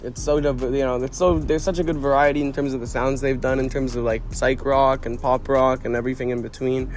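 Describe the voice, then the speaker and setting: A man's voice: medium pitch, little pitch movement, a little fast. Rambling young Quebec man, slangy. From Quebec, Canada. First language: French. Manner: rambling, lots of fillers and restarts, slangy. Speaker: interviewed musician. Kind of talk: casual interview chat.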